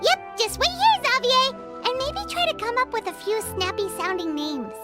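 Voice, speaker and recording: A woman voicing a tiny, excitable childlike girl companion in a video game, with a squeaky voice. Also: normal speed, big-room sound, over loud music. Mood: eager, curious. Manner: speaks of herself in third person.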